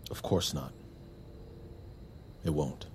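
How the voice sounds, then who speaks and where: An actor playing an earnest, awkward young army veteran in an audio drama, halting and confessional as he talks about buried shame and desire. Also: very deep voice, darkish tone, slight background noise. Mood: apologetic, awkward. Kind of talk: naturalistic audio drama acting.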